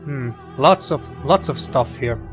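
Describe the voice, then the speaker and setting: A man's voice: medium pitch, unhurried, soft background music. Man with a dry, fading voice. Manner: relaxed, thinking aloud, mildly sweary. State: dry throat, losing voice. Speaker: gaming video host. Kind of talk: casual gameplay commentary.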